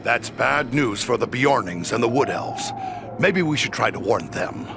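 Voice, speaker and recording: Direct game adventurer: gravelly, lively inflection, practical, music underneath. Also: male voice, medium pitch, unhurried, noisy background. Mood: concerned. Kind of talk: video game cutscene acting.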